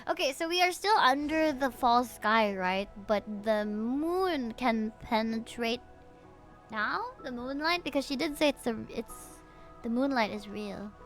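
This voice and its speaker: High, bright-voiced young woman streaming. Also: expressive, unhurried. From Philippines. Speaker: game streamer. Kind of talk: live stream chat, reading game dialogue aloud. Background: faint game music.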